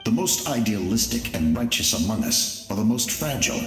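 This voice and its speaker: A man voicing a grave, ruthless ancient warrior in a video game, with measured, formal and solemn delivery. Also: fairly low pitch, big pitch swings, echoey room, soft background music. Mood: cold, resolute. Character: grave, ruthless ancient warrior, a living story of a loyal second in command. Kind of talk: dramatic video game voice acting.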